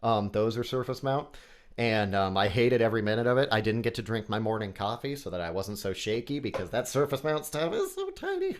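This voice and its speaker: A man's voice: lowish voice, big pitch swings, a little fast. Nasal-voiced man talking gear. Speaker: guest, microphone company CEO. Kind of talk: casual technical podcast chat.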